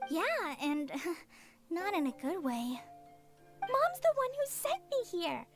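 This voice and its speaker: A woman's voice: very high-pitched, wildly swooping pitch, slow, heavily acted. A woman voicing a cheerful, innocent little girl adventurer in an anime fantasy game, childlike, bubbly and earnest. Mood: eager, curious. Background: background music.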